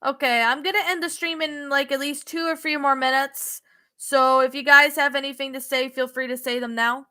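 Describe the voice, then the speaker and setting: A young woman with a Canadian accent and a high voice, chatting on a live stream. Her tone is bright and clear. She answers viewers casually, pausing on ums and restarting her sentences. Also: fairly even intonation. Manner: hesitant, many ums and restarts. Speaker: streamer reading chat.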